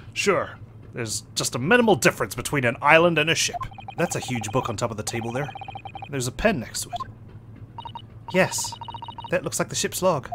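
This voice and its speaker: A man with an English accent hosting a let's play, reading game dialogue aloud and making playful, joking asides. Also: medium pitch. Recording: noisy background ambience.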